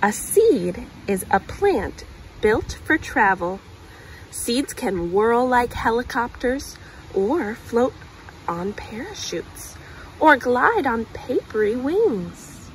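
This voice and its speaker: A woman reads a picture book to children in a fairly high, very animated voice. She gives key words deliberate emphasis, like a storytime host. She is recorded outdoors with clear ambient noise around her. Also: poor audio. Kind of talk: read-aloud picture book narration for children. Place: outdoors on grass.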